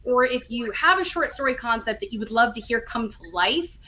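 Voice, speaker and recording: A woman's voice: fairly high pitch, expressive, fairly quick, bright, forceful tone, slight background noise. A woman talking casually as an interview guest, explaining things in a chatty, enthusiastic way. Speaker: guest, audio drama writer and co-creator.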